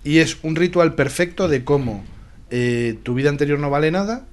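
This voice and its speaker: A man's voice: fairly high pitch, moderate pace. Emphatic Spanish man discussing films. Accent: Spain. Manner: emphatic, opinionated. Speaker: film discussion panelist. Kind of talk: casual film discussion.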